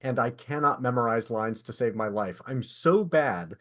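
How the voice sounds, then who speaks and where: A man with a medium-pitched voice speaks as a podcast host in relaxed conversation. He has an animated, anecdotal way of talking. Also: normal speed. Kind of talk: casual chat.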